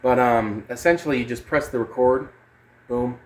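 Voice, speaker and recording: A man's voice: medium pitch, normal speed. A man giving a casual, unscripted music gear demo in a rambling, hesitant, sweary way. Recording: clear background noise.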